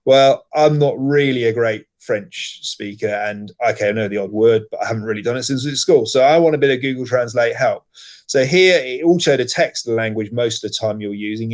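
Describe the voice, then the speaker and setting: Man walking through software steps. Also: mid-range voice. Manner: plain, step-by-step explaining with occasional fillers. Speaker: teacher trainer. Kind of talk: screen-share software tutorial.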